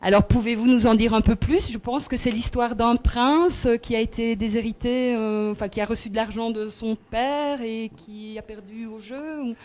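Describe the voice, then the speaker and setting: A woman's voice: a little high, fairly even intonation, normal speed, hardly any pauses, a little mellow. A woman interviewing a guest for a radio station, asking questions politely and using fillers to keep the talk moving.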